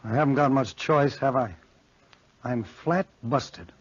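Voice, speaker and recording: A man's voice: medium pitch, unhurried, slightly rough, no room sound. An actor playing an earnest, anxious, lovesick young college man with strained melodrama in a 1940s radio horror play. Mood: desperate, bitter. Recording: muffled 1940s radio broadcast recording.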